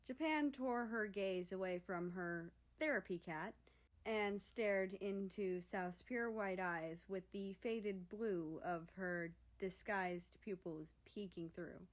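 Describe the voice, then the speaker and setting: Slow-paced woman reading a story. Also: medium pitch. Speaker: story reader. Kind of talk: scripted fan fiction narration.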